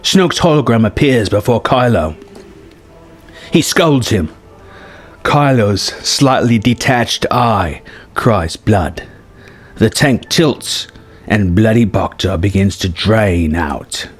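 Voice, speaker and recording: A man's voice: on the low side, expressive, takes it slowly, slightly rough. A man reading out a scripted film story treatment as narrator, in present-tense prose. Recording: music behind the voice. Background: music.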